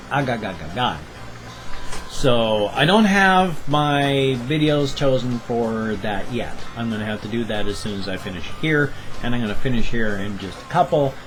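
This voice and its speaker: A man's voice: mid-range voice, normal speed, music in the background. A man in his fifties rambling through a casual daily vlog at home, with jokey asides, recorded on a webcam. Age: 50s. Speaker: vlogger.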